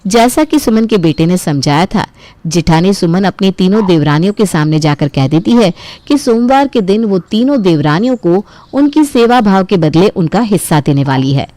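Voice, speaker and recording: A woman's voice: fairly low pitch, animated, moderate pace, mellow, no room sound. A woman giving scripted narration for a family story.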